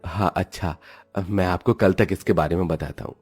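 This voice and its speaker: A man voicing a poor, kind village milkman and father in a cartoon story, speaking gently and humbly. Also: deep voice, steady tone, normal speed, slightly rough, faint music behind.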